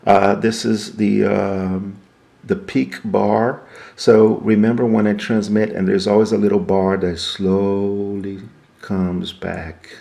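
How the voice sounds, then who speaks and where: A man's voice: on the low side, little pitch movement, slow, vocal fry, warm tone. A man giving a casual, unscripted walkthrough of equipment as a reviewer, explaining things step by step. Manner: explanatory, step by step, asks and answers own questions. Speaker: product reviewer.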